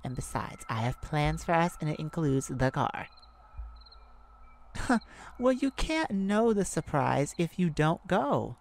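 A woman's voice: low-pitched, sing-song, slow, exaggerated voice. A woman playing a jovial, affectionate girlfriend in an intimate, casual ASMR roleplay, with a playful, chatty manner. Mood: playful, coaxing. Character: jovial, affectionate girlfriend treating partner to a night out. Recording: clear background ambient noise. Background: ambient sound effects.

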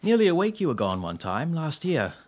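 A man's voice: a little high, sing-song, fairly quick, darkish tone. A man playing a polite, earnest diarist, narrating an audio drama in formal storybook phrasing. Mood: polite, curious. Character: male diarist, polite, earnest, easily startled friend. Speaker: narrator and character.

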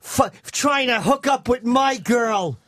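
A man on a radio comedy show, putting on a high, mock-earnest voice as a jilted fan who keeps breaking into song. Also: slow, a bit bright, exaggerated voice. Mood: mock-heartbroken.

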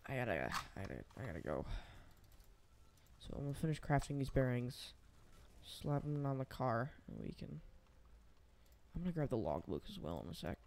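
A man's voice: medium pitch, sing-song, moderate pace, gravelly, long pauses, a little mellow, slight background noise. A young man casually commenting on his own gameplay, thinking aloud and rambling as he builds. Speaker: gaming channel host.

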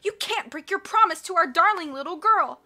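A woman's voice: very high-pitched, animated, moderate pace, pushed and bright, pitched above the performer's own voice, heavily acted. A woman voicing a vain, fashion-obsessed villainess who pouts and snaps back. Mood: haughty petulant. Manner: pouting, haughty, petulant. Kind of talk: audiobook character voice.

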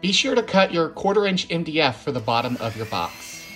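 A man's voice: on the high side, sing-song, fairly quick. A man in his thirties hosts a hands-on tutorial in a casual, step-by-step way. His voice rings with the echo of an indoor workshop. Faint guitar music plays behind him. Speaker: woodworking tutorial host. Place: indoor woodworking shop. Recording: roomy echoing workshop sound.

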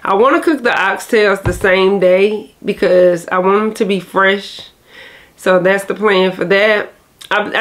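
Nasal woman vlogger, casual and rambling, mid-pitched. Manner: rambling, chatty, self-correcting. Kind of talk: casual vlog chat to camera.

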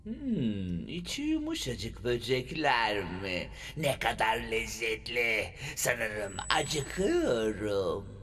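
Slow raspy gloating cartoon villain. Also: male voice, medium pitch, wildly swooping pitch, fairly bright tone, distant mic, exaggerated voice. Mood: gloating, hungry. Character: sinister hungry villain creature relishing tasty bugs.

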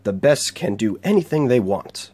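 A man's voice: medium pitch, big pitch swings, moderate pace, mellow, husky. A man with a sore throat voicing a boastful, vain male robot from a game.

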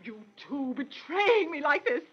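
An actress playing a jealous, hot-tempered countess in a melodramatic 1940s radio drama. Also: female voice, high-pitched, animated, a little slow. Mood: indignant. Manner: melodramatic, indignant. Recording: muffled 1940s radio recording.

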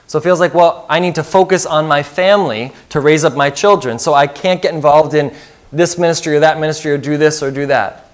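Earnest man preaching a sermon. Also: a little high. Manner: earnest, asks the congregation questions. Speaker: pastor. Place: church sanctuary.

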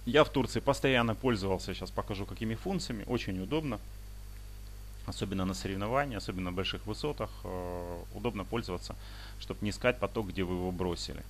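Male software instructor, low register, halting step-by-step delivery, noisy home screencast with clicks. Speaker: instructor demonstrating paragliding flight software. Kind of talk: unscripted screen-recording tutorial.

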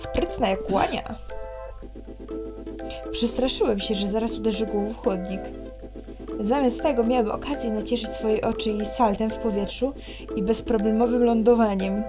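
Wry woman reading a visual novel, higher-pitched. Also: steady tone, moderate pace, pauses often, over music. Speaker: let's player reading a visual novel aloud. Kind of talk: expressive reading aloud with commentary.

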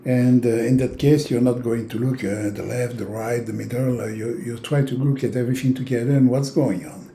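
A man in his sixties from Europe, a native French speaker with a French accent, giving an informal, halting instructional talk with long hesitations. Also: mid-range voice, steady tone, dark tone, slight background noise. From francophone Europe. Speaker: tarot teacher. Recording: roomy, echoing indoor sound.

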